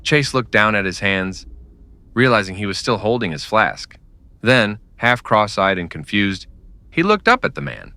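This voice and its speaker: Deep, animated male western narrator. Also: a little slow, no echo. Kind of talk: scripted dramatic storytelling narration of a western.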